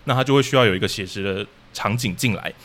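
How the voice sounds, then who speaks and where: A man's voice: on the low side, quick pace, a bit bright. A man with a Taiwanese Mandarin accent, a podcast guest explaining things methodically in casual talk. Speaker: guest, radio drama troupe leader.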